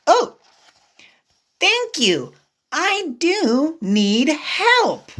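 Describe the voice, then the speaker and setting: A woman voicing a cheerful, determined young girl pig in a children's picture book read-aloud, exclaiming in simple, drawn-out words. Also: high-pitched, sing-song, a bit bright, voice pushed up, exaggerated voice. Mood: delighted.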